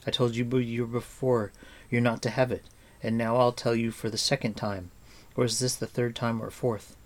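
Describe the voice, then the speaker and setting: A man voicing a sharp-tongued, drowsy young girl who scolds. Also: mid-range voice, flat delivery, moderate pace, lightly acted. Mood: tart. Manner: tart, scolding.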